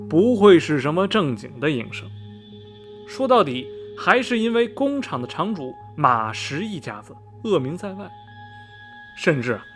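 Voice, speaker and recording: Gossipy railway old hand for radio drama, played by a man; highish, very animated pitch, folksy rambling storyteller. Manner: folksy, rambling, full of sighs and interjections. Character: chatty, gossipy veteran railway station worker, smoker. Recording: music behind the voice. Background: background music.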